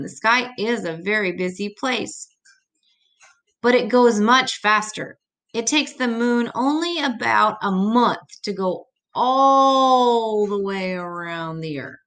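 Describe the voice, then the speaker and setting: A woman's voice: medium pitch, animated, slow pace, a bit bright. An older woman reading an educational children's book aloud, adding simple, patient explanations as she goes.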